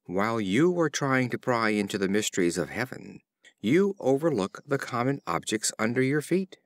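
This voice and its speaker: A man's voice: medium pitch, big pitch swings, moderate pace, no room sound, studio quality. A man voicing a passer-by in a storybook fable who lectures a fallen astronomer. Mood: lecturing.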